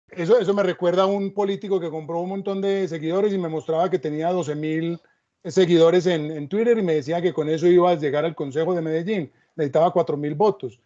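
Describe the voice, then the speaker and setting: A man's voice: on the high side, brisk, mellow. A man moderating an academic panel, reflecting on the topic and adding stories. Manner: reflective, storytelling asides. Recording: dull lo-fi sound.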